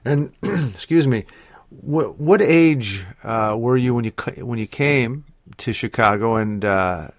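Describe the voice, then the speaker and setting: Gravelly male interviewer, dry sound, easygoing questions. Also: mid-range voice, animated, slow pace, dry recording. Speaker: interview host. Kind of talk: casual interview.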